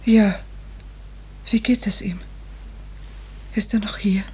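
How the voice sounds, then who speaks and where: Soft, mellow, anxious young woman patient. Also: mid-range voice, fairly even intonation, slow, slightly rough. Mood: frail, uneasy. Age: 30s. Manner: soft, wistful, questioning. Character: gentle, anxious thirty-year-old female hospital patient. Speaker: actress. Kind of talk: 1950s radio drama acting. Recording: noisy old 1950s radio recording.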